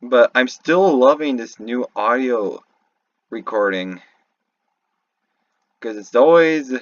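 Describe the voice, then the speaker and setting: Male gaming commentator, mid-pitched, casual and halting, thinking aloud on a dull, lo-fi setup. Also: no echo. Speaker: YouTube commentator. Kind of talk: casual off-the-cuff game lore analysis.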